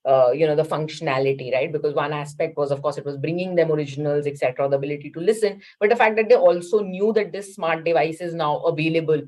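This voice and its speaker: Low-voiced woman, marketing panelist. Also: quick pace, few pauses, darkish tone. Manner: explanatory, frequent "right?" tags and fillers. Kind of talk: webinar panel discussion.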